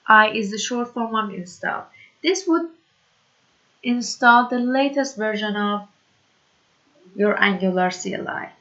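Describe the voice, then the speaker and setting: A woman from Iran, whose first language is Persian, teaching a programming tutorial in slow, hesitant English full of fillers. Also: medium pitch, animated, sharp tone, lo-fi sound. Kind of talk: screen-recorded coding tutorial.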